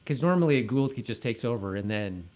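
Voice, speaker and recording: A man co-hosting a podcast in casual chat, halting, often repeating words and trailing off. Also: mid-range voice, expressive, darkish tone. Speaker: podcast co-host.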